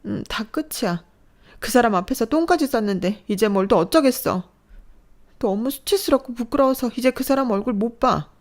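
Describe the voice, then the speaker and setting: A woman's voice: a little high, animated, moderate pace, voice pushed up. A woman voicing a fragile young adult daughter who speaks in a trembling, pleading, emotional way. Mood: resigned.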